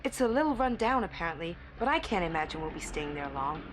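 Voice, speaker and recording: An actress playing a besotted young bride drawn into a cult, delivering an intense, breathy diary monologue in an audio drama. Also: female voice, fairly low pitch, big pitch swings, fast. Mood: excited, dreamy.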